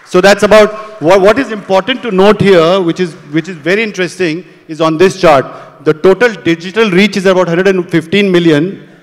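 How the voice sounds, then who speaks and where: A man on a conference panel walking through audience figures quickly, with many fillers, on a handheld mic on stage. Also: high voice, steady tone. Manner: rapid, data-heavy delivery with fillers. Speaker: panelist presenting audience data. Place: large conference stage with a long reverb. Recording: hall reverb, stage PA.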